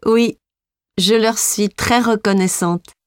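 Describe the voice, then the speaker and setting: An actress voicing a young female Belgian pop singer being interviewed, speaking slowly and clearly in a scripted exam dialogue. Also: mid-range voice, steady tone, no room sound, studio quality, lightly acted. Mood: friendly. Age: young adult. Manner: slow, clear, carefully articulated.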